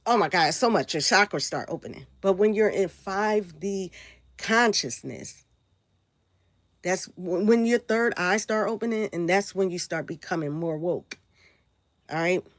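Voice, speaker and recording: Raspy-voiced Brooklyn woman, hoarse. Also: on the low side, a little slow, no echo. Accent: New York. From Brooklyn, New York. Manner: rambling, loose, frequent "right?" and "like". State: hoarse from a sore throat. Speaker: spiritual live streamer. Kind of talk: casual live-stream talk answering chat.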